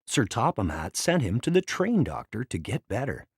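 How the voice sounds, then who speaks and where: A man reading a children's read-along story as a scripted, dramatic and expressive narration. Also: mid-range voice, moderate pace, husky, no echo, clean studio sound.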